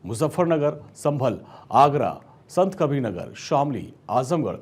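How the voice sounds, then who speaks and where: Guy anchoring tv news, emphatic scripted read, a bit rough voiced, normal pitch. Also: very animated, unhurried, darkish tone.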